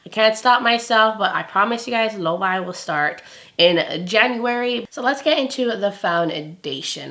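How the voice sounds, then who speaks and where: A woman in her thirties with a raspy, gravelly voice as she recovers from laryngitis. She chats through a makeup review in a casual, upbeat way and often goes softer while she applies product. She talks close to a camera in her home filming room. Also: medium pitch. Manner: chatty and upbeat, often drops to a quieter tone while applying. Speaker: beauty vlogger. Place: home filming room with shelves and vanity lights.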